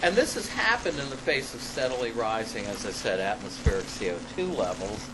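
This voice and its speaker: A man reading an academic paper aloud in a classroom as a lecturer, halting and deliberate, stressing key words and adding spoken asides. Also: medium pitch, animated. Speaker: lecturer presenting own paper. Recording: clearly noisy live classroom recording.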